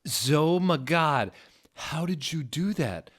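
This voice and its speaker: A man voicing an excitable, smitten young gothic wizard with melodramatic exclamations, in a story reading. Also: on the high side, takes it slowly, voice pushed up. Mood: awed.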